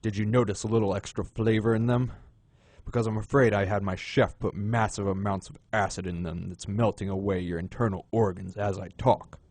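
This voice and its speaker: A man playing a suave, menacing gentleman crime boss in an audio drama, unhurried and courtly in manner. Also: fairly low pitch, fairly even intonation, no echo. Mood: coldly menacing.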